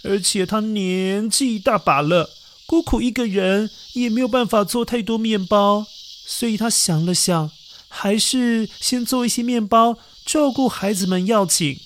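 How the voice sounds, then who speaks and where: Gentle man telling a children's story. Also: high-pitched, measured pace, slight background noise. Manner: gentle, coaxing, addresses young listeners as little ones. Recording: narration over backing music. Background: music.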